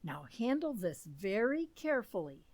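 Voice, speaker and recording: A woman's voice: mid-range voice, very animated, moderate pace, darkish tone, dry recording. A woman playing a kindly, fussing middle-aged mother in an old-time radio comedy. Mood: helpful.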